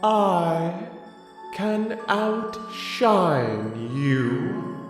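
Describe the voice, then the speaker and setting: A proud female Sun from a storybook fable, acted out by a man, pitched high and very slow. She sounds smug and teasing, utterly sure of herself, stretching out her words with relish. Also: big pitch swings, echoey room, big-room sound, over music, full character voice. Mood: smug, challenging.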